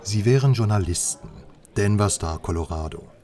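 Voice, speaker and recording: Actor as a low-voiced, wry, confiding informant. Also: male voice, animated, normal speed, mellow, natural voice. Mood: wry, amused. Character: male journalist informant, wry, knowing and conspiratorial. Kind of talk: radio drama dialogue.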